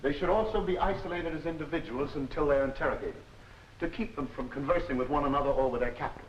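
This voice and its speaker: A dull, faintly noisy old film soundtrack carries a firm army captain played by a man giving instruction. He is mid-pitched, matter-of-fact and precise, in 1940s training film style. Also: quick pace, close to an everyday voice. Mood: firm, instructive. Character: brisk, no-nonsense male army captain instructor. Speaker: military instructor.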